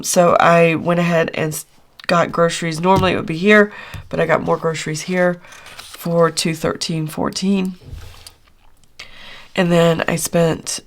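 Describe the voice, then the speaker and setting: Hoarse, gravelly-voiced woman budgeting vlogger. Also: on the low side. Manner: chatty, rambling with many fillers. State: hoarse, recovering from lost voice. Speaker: budgeting channel host. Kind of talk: casual budget walkthrough.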